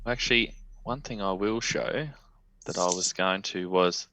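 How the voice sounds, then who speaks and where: An Australian man on a video call, casually showing off his radio station as he works the software. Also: low-pitched, a little slow, vocal fry, a little hiss or hum, poor audio. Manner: relaxed, talks while operating radio software.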